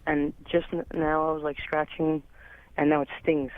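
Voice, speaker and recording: A teenage boy with a cold, calling a radio show and asking haltingly, with many fillers. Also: a little high, level tone, smooth, no echo. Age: teens. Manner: hesitant, halting, full of fillers. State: has a cold. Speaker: caller. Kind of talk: phoned-in question. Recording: dry, muffled phone line.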